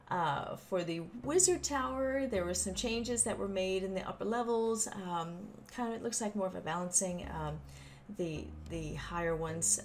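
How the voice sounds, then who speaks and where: A woman giving a casual, chatty explainer on a game update, with informal asides and folksy exclamations. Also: fairly low pitch. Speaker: gaming channel host. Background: background music.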